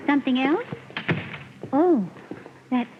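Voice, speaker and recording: Uneasy female model, very high voice, hesitant, deferential, vintage radio play, dull noisy recording. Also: slow. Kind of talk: 1940s radio drama acting.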